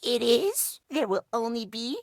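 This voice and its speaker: A man's voice: very high voice, sing-song, measured pace, gravelly, no echo, studio quality, heavily acted. A man voicing a cutesy, childlike little creature with a menacing streak in a video game, talking about himself in the third person. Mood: dramatic, scheming. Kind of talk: video game character acting.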